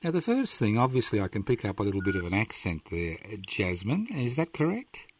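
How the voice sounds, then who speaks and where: Playful older man, lively deep voice. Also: a little fast, slight background noise. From Australia. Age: 60s. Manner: playful, teasing, jokey. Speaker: radio host. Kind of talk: casual radio interview.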